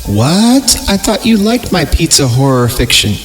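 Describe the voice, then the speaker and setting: Guy doing a cheesy storybook dad, mock hurt, voice jumps up high, faint music. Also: sing-song, a little slow. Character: cheesy, mock-hurt single dad who tells cautionary tales.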